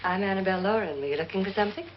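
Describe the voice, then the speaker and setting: An actress playing the cool, anxious wife of a jealous millionaire in a 1950s horror film, poised and commanding. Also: female voice, medium pitch, rapid-fire, a little hiss or hum, natural voice. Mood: cool, probing. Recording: dull vintage film soundtrack with music.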